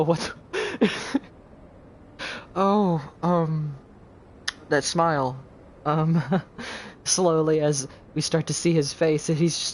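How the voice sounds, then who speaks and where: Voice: mid-range voice, slight background noise. A streamer chatting casually and reacting with laughs while playing a game live. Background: game music.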